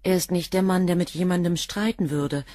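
An actress playing a cool, guarded assistant in a crime radio play, answering in a clipped, matter-of-fact way. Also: female voice, lowish voice, a little fast, mellow, no echo, studio quality, lightly acted. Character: cool, devoted, guarded female medical assistant. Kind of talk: German radio crime drama acting.

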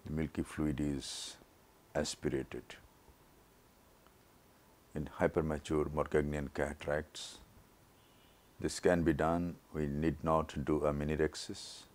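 A man with an extremely low voice, still worn from a throat infection, narrates surgery. He talks very slowly, laying out each step plainly for learners. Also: level tone, darkish tone. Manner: slow, measured, step-by-step explanation. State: recovering from a throat infection, voice not normal. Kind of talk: slow surgical teaching narration.

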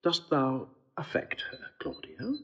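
Husky, animated noble prince. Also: male voice, medium pitch, slow pace, muffled recording, heavily acted. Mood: assured, eager. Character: noble prince, generous, assured, playful matchmaker. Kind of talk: classical Shakespearean audio drama acting.

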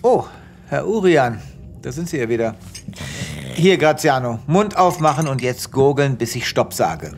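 Pompous, animated space veterinarian. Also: male voice, mid-range voice, slight background noise. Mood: businesslike. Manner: pompous, brusque, halting when flustered. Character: self-important, easily exasperated male space veterinarian. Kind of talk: comedic sci-fi audio drama acting.

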